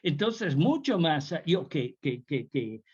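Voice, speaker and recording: An older man from Italy with an Italian accent, a guest lecturer answering questions on a video call, speaking haltingly with many restarts. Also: a little high, very animated, husky. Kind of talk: Zoom talk Q&A. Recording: muffled video call audio.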